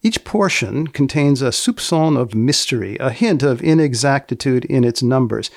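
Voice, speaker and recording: Deadpan male podcast host with a creaky voice. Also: mid-range voice, no room sound, studio quality. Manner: deadpan, wry, precise, deliberate wording. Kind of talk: scripted humorous narration with questions to a co-presenter.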